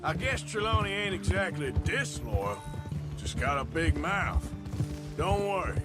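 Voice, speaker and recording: A loyal, wry outlaw in a western game drawls in an American accent. His gruff voice sits fairly high and moves up and down a lot, over loud music and hoofbeats. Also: big pitch swings, a little slow. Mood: dry, easy. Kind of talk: video game cutscene acting. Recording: loud music and horse sounds behind. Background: music, horses, hoofbeats.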